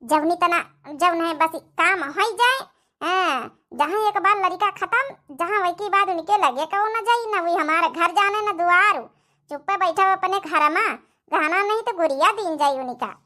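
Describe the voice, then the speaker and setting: A woman's voice: very high voice, unhurried, sharp tone, full character voice. A woman voicing dramatic, exclamatory village in-laws in a cartoon comedy, with an Awadhi accent. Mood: conspiratorial. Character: scheming young daughters-in-law and fretting mother-in-law, village family.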